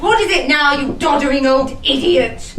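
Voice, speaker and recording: An actress playing a haughty, lustful, scheming widow in a rhyming costume comedy, imperious and gleeful. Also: female voice, sits high, moderate pace, a bit bright. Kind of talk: theatrical costume comedy in rhyme. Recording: echoey room, distant mic, faint music.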